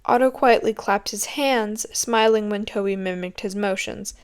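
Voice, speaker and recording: A woman's voice: medium pitch, moderate pace, over music. Woman reading a story aloud. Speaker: amateur audiobook reader. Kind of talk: scripted story narration.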